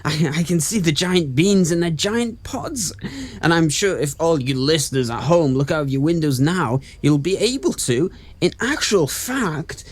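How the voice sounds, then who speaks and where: Literal-minded radio reporter, earnest, pedantic, swooping pitch, parody news. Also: male voice, animated, unhurried. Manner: pedantic, over-explaining.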